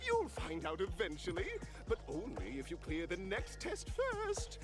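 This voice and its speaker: A woman's voice: fairly low pitch, huge pitch swings, unhurried, music in the background. A woman playing an exuberant, showy game-show host announcing challenges in a video game. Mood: showy, teasing. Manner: showy, bubbly, grandiose.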